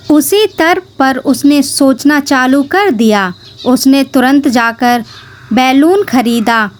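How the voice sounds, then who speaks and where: A woman narrating a scripted children's story. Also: sits high.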